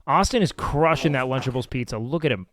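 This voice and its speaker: A man with a nasal voice chatting casually on his live stream, loud and excitable, swearing often. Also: medium pitch, fairly quick, background noise. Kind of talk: casual live-stream chat reacting to clips. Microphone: studio mic with noise gate.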